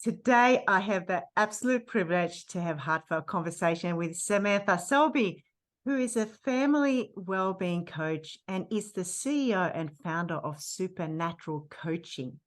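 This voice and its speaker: A woman's voice: lowish voice, moderate pace. A woman hosting a podcast, introducing and interviewing her guest in a casual, effusive way with many fillers and restarts. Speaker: podcast host.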